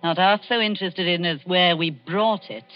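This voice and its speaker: A woman's voice: fairly low pitch, normal speed, soft background music, close to an everyday voice. An actress playing a wheedling, hard old woman who trades in corpses, coaxing in old radio drama style. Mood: hard, menacing. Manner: coaxing, calls everyone dearie. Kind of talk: 1940s radio acting. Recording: dull vintage radio recording.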